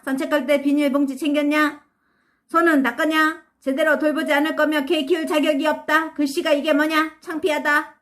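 A woman's voice: sits high, a bit bright, pitched above the performer's own voice. A woman voicing a strict, nagging father in a storybook reading, firing off one scolding order after another. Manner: rapid-fire scolding commands.

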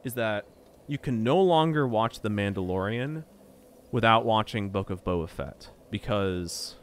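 A man's voice: on the low side, animated, measured pace. Young guy gaming and ranting, stammers a lot, swears when annoyed, faint hiss behind. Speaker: gameplay streamer. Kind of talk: casual chat while gaming.

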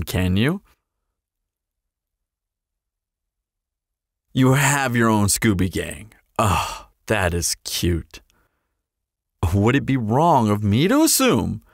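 Here is a cocky immortal male vampire, acted out by a man in an audio roleplay. He teases and flirts with sardonic, theatrical flair. His pitch leaps and dips wildly, his pace is unhurried, and the sound is dry and close. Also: no echo, exaggerated voice. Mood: probing, amused. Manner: playful, drawling, theatrical, sardonic. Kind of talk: scripted audio roleplay acting.

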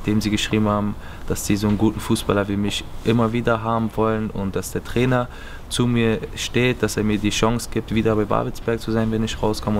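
A young man from Berlin answering interview questions earnestly, seated in an empty stadium. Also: lowish voice. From Berlin, Germany. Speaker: footballer. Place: empty stadium stands, outdoors. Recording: noisy outdoor stadium recording.

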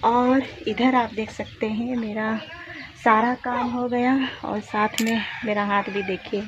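Young woman vlogger from Jharkhand, slow and chatty, tired from chores, dull phone audio. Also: fairly high pitch, flat delivery. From Jharkhand, India. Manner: chatty, showing chores while walking and working. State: tired from a day of physical work. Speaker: village vlogger. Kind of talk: casual vlog narration to camera. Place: outdoors in a village yard. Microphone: phone camera at arm's length. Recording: dull lo-fi phone audio with clear outdoor noise.

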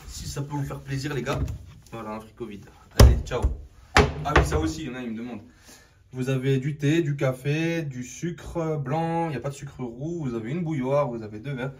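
A man from Algeria vlogging casually on a road trip, slangy and excitable, talking straight to his viewers on a handheld camera. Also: mid-range voice, moderate pace. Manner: slangy, excitable, talks to viewers as "les gars". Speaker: travel vlogger. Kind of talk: casual travel vlog to camera. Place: outdoors and indoors on a road trip, hotel villa and restaurant. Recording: loud background noise. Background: loud ambient noise and vehicles.

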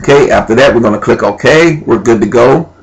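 A man with a medium-pitched voice presents an amateur computer tutorial. He talks informally and without a script, instructing step by step and thinking aloud as he works through the screens. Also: a little fast, rough recording. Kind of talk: unscripted screen-recorded software walkthrough. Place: indoors at a computer.

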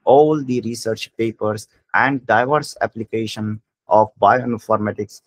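A man's voice: on the low side, measured pace, dry recording, low-quality recording. A man with an Indian English accent giving a bioinformatics lecture as a voice-over on slides, explaining as he reads and often restarting words.

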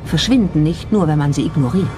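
A narrator reading a scripted documentary in a measured storytelling style. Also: mid-range voice, animated, over loud music.

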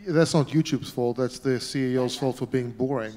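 A man's voice: medium pitch, moderate pace, mellow. A man on a conference panel, blunt and opinionated, speaking into a handheld mic on a large hall stage. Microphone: handheld microphone.

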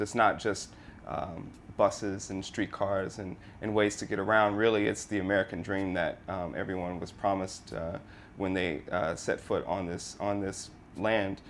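A man in his thirties giving an unscripted interview answer, halting as he thinks. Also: lowish voice, slight background noise. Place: inside an empty streetcar.

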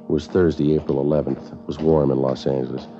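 An American actor playing a terse, deadpan male police sergeant in a 1950s radio drama, clipped and matter-of-fact. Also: extremely low pitch, fast talker. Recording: dull vintage radio recording with music.

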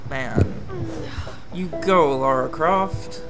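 Man, higher-pitched, casual gaming commentary, wry and sarcastic, loud game music behind. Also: extremely slow. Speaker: gaming video host. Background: loud game music and sound effects.

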